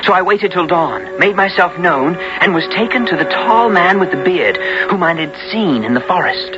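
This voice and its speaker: A man playing a bold young English gentleman hero in a 1970s radio drama, earnest and theatrical, with archaic formal speech. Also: high-pitched, expressive, moderate pace, over music. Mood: tense narrating. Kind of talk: 1970s radio drama acting and first-person narration. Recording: dull 1970s radio broadcast.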